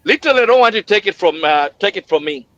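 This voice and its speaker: Man, livestream host, fervent repetitive delivery, slightly high voice, muffled call audio. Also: lively intonation, racing, a bit bright. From Kenya. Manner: exuberant, repetitive, preacher-like cadence. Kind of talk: enthusiastic livestream hosting.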